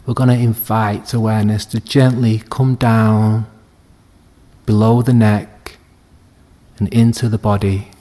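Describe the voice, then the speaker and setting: A man leading a guided meditation as a teacher, speaking gently and soothingly with long unhurried pauses. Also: fairly low pitch, little pitch movement. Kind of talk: guided body scan meditation.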